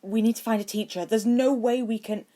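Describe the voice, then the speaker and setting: A woman voicing a brave, stubborn schoolboy wizard in a fantasy audiobook, with a forceful, urgent delivery. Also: a little high, steady tone, a little fast, pitched above the performer's own voice, natural voice. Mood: alarmed.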